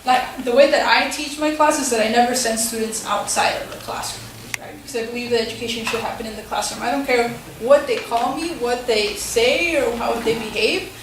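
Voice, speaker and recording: Young woman, casual speech with fillers, slightly high voice, distant mic, very echoey hall. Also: a little fast, hardly any pauses, background noise. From Tucson, Arizona. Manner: casual, many fillers and tag questions. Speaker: teacher and community organizer. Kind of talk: community forum speech. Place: school hall.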